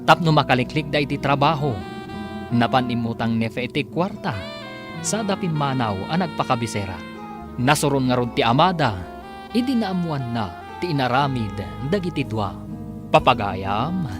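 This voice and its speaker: A man narrating and announcing a radio serial from a script in a dramatic, drawn-out manner. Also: medium pitch, sing-song, over music.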